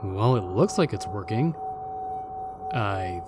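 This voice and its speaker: A man's voice: fairly low pitch, sing-song, slow, loud music behind the voice. Dry, sceptical ghost, played by a man. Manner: dry, sardonic.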